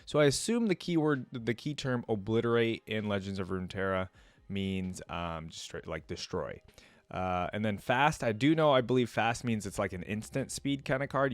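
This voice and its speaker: A man giving casual reaction commentary as a video host, informal and rambling as he thinks out loud. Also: lowish voice, moderate pace, no room sound.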